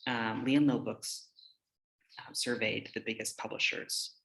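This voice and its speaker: A man's voice: fairly low pitch, steady tone, a little slow, vocal fry, fairly bright tone, rough recording. A man with an American accent giving an informal slide presentation in a conversational, hesitant manner. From United States. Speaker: teacher giving a conference presentation.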